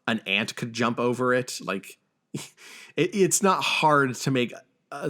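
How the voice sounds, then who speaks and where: A man hosts a relaxed, conversational podcast about films. His delivery is halting, with stammered starts and repeated words as he works toward his point. His voice sits at an ordinary middle pitch for a man. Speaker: podcast host. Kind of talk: casual film discussion podcast.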